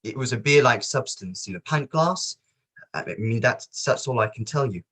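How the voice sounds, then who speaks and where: A man reading the part of a sombre witness at a disaster inquiry in a play table read, in a measured, plain manner. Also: mid-range voice, animated, fairly bright tone, husky. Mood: guarded.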